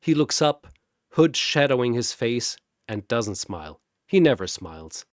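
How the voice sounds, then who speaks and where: Slow, dramatic male storyteller. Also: medium pitch, no echo, clean studio sound. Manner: dramatic, wry, deadpan asides. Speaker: narrator. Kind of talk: scripted narration.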